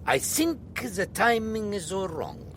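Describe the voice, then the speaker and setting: An actor playing a polite ship's master in a radio drama, halting, apologetic and a little secretive. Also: male voice, very animated, a bit bright, heavily acted. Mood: courteous, uneasy. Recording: noisy vehicle-like rumble behind the voice.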